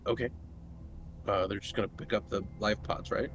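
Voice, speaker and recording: A man's voice: on the low side, fairly even intonation, moderate pace. A man playing a tabletop roleplaying game, chatting casually at the table. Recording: noticeable background noise.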